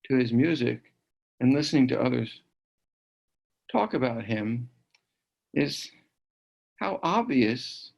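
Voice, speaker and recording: A man narrates a documentary in a reflective style. His mid-pitched voice is markedly creaky, and he sets out each thought deliberately, phrase by phrase. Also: low-quality recording. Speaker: film narrator and commentator.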